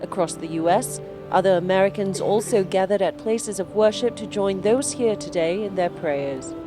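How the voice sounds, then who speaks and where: A woman news correspondent reporting from city streets in a measured, formal broadcast delivery. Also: medium pitch, music in the background.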